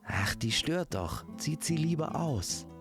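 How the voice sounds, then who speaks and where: Guy doing a eager flirty princess, easily miffed, higher voice, quick gushy lines, audio fairytale. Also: big pitch swings, over music. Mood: flirtatious.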